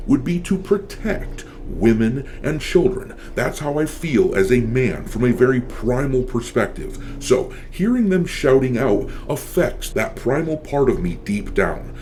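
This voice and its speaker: A man's voice: mid-range voice, warm tone, slight background noise. A man giving opinionated gaming commentary as a channel host, blunt and sardonic, swearing casually.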